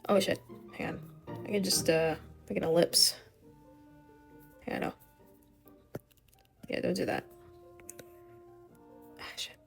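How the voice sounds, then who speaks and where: A young woman with a scratchy, hoarse voice rambling casually as she draws, swearing and muttering to herself. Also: on the low side, fairly even intonation, slow pace, pauses often, faint music behind. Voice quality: scratchy, vocal fry. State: voice giving out, hoarse. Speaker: art vlogger. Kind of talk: rambling casual commentary while drawing.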